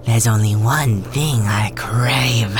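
A sneering male alien doctor in a storybook tale. He speaks very deep and slow, dropping to cold whispers, with music faintly behind him. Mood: contemptuous. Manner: contemptuous, slow, drops to a whisper. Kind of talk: audiobook voice acting.

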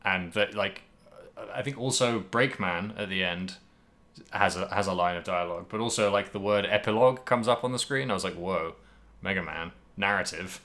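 Low, bright-voiced man chatting casually. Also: normal speed. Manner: rambling, jokey, laughs often. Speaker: podcast co-host. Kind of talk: casual video game podcast chat.

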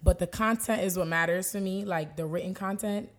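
A woman in her early twenties with a deep voice, co-hosting a podcast in casual chat, laughing and restarting her sentences. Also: steady tone, a little fast, slight background noise. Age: early 20s. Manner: laughing, chatty, trails off and restarts. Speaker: podcast co-host.

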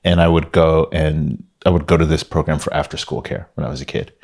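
A man with an extremely low, deep voice talks about his company as an interview guest. He is from Miami, Florida, and tells his story at an easy pace, often restarting his sentences and filling pauses. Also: creaky. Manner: relaxed storytelling with many restarts and fillers. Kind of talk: casual interview talk.

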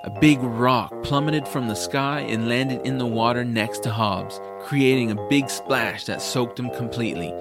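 A man's voice: medium pitch, measured pace, few pauses. A man reading scripted first-person narration for a family fantasy audiobook. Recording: music behind the voice. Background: music.

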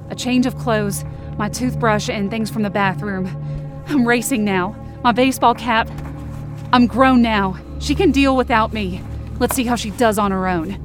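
A woman's voice: on the high side, lightly acted. A woman playing a wounded, angry, defiant young woman, narrating in the first person with intense feeling in an audio drama. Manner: intense, emotive.

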